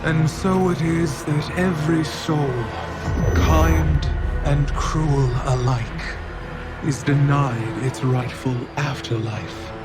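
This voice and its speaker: An actor playing a solemn otherworldly narrator in a game cutscene, speaking in a grave, measured, portentous way. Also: male voice, fairly high pitch, steady tone. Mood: grave, ominous. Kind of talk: scripted dramatic narration. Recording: loud orchestral music behind. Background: loud music.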